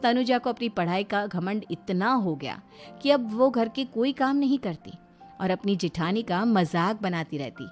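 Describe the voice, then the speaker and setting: A woman tells a scripted bedtime moral story as narrator. Her voice sits at a middle pitch, with soft music playing behind it. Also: lively intonation, measured pace.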